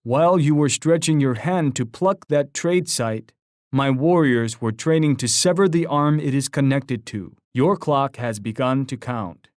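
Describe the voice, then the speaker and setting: An actor playing a proud, stern war leader in a video game, speaking in a solemn, formal, boastful way. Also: male voice, medium pitch, a little slow, darkish tone, no room sound, clean studio sound, lightly acted. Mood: stern, taunting. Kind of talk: video game character taunt lines.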